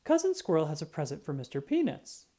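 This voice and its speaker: A woman reading a children's storybook aloud in an expressive, unhurried way. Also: low-pitched, breathy edge. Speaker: children's book reader.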